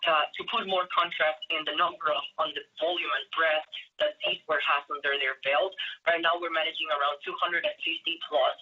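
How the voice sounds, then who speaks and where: A man's voice: a little high, fairly even intonation, brisk, breathy edge. A man from Guatemala giving an earnest, thankful webinar presentation, often restarting and repeating words. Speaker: agency partner presenting in a webinar. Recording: muffled lo-fi audio.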